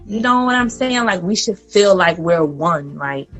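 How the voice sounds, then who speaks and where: A woman in her late twenties, a podcast guest in casual chat, talking in a loose, animated way. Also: fairly low pitch, a little slow. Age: 20s. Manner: chatty and animated, gets loud and laughing when excited. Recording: faint guitar music underneath.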